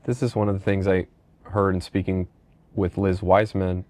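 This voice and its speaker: A man's voice: low-pitched, flat delivery, normal speed, darkish tone, no echo. A middle-aged man hosting a conversational podcast interview, casually asking questions and rambling through anecdotes. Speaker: podcast host, company leader.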